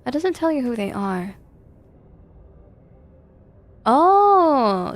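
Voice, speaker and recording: A woman game streamer, moving between reading game narration aloud and casual live chat. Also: fairly high pitch.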